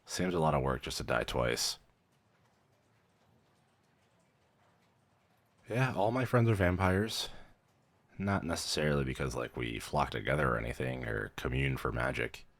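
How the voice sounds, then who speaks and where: A man's voice: rumbling low, lively intonation, normal speed, a bit bright, full character voice. A man playing a weary, friendly young vampire mentor in a roleplay audio, dryly professional yet casual and a little hesitant. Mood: dry, helpful. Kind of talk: roleplay audio, conversational acting.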